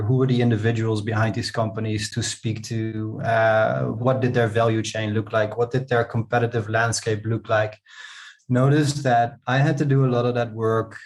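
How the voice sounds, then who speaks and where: A man giving conversational interview answers, often pausing and repeating words as he goes. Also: medium pitch. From Netherlands. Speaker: guest, company co-founder and CEO.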